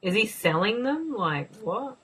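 A woman's voice: medium pitch, very animated, measured pace, fairly bright tone, slightly rough. A young woman casually co-hosting a movie commentary, joking, sarcastic and swearing freely. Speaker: co-host.

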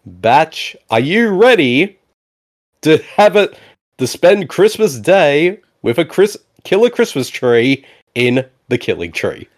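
Man, podcast host, casual movie commentary, lively swooping pitch, animated, quick to agree. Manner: animated, laughs often, repeats "exactly" and "indeed".

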